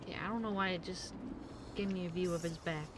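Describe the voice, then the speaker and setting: A woman doing casual live game-stream commentary in an expressive, exclamatory, giggly way, swearing freely. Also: fairly low pitch, fairly quick, noisy background. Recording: game music behind the voice. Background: video game music.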